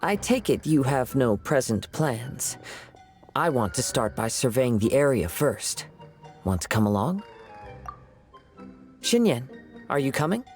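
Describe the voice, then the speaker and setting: A man voicing a calm, watchful young scout in a video game, measured and serious. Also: mid-range voice, soft background music. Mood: calm, observant.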